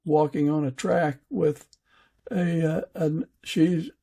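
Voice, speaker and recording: Slow, gravelly man interviewing haltingly. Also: fairly high pitch, fairly even intonation, mellow. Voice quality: husky, gravelly. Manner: hesitant, halting. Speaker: podcast host and fiction author. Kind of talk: conversational interviewing.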